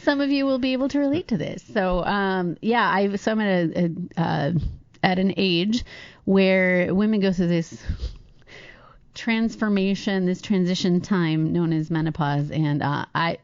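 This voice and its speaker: A woman's voice: lowish voice, a little slow, no echo, lo-fi sound. A woman in her late forties co-hosting a podcast, speaking candidly and chattily about her own life. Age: late 40s. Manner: candid, chatty, laughs easily. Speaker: podcast co-host. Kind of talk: casual podcast conversation.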